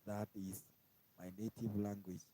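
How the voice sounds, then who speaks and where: A man from Kenya with a Kenyan accent, giving a casual language lesson and slowly repeating each word. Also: on the low side, level tone, dark tone, no room sound, low-quality recording. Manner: slow, repetitive, spells out each word twice. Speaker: language teacher. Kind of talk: informal live-stream language lesson.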